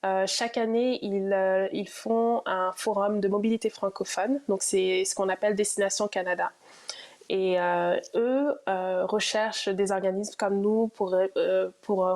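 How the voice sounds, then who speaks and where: A woman's voice: medium pitch, darkish tone. A woman, herself an immigrant, answering interview questions about her program with frequent hesitations. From immigrated to Ontario. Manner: hesitant, many fillers.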